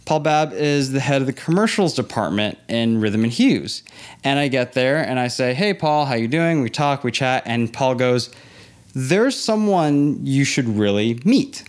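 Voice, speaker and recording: A man's voice: mid-range voice, unhurried. Man telling an anecdote, conversationally. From born in Los Angeles, grew up in Hong Kong. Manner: animated retelling with quoted dialogue. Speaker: interview guest, theme park industry professional. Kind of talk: casual anecdotal storytelling.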